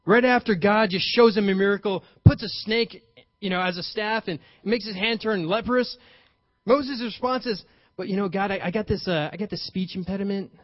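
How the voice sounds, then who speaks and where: A young adult man preaching a sermon, lively and playful, acting out paraphrased dialogue with sudden emphasis. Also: high-pitched, moderate pace, no room sound. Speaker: pastor. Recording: dull, slightly lo-fi, dry sound.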